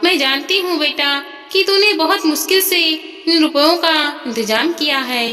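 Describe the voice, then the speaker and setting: A woman voicing a kind, self-sacrificing elderly mother in a story, speaking gently and earnestly with affection. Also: high voice, moderate pace. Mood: earnest, resolute. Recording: long reverb, music behind.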